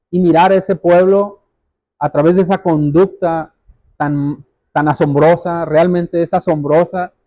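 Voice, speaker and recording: A man's voice: sits high, warm tone, no echo. A man preaching a sermon as a pastor, speaking earnestly and directly to his listeners and repeating phrases for emphasis. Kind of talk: sermon, exhortation.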